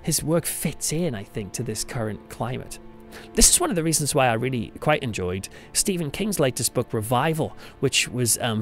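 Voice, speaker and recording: A man's voice: mid-range voice, a bit bright. A British man hosting a podcast, giving a reflective monologue in a conversational, thoughtful and emphatic way. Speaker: podcast host, church pastor. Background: music under the voice.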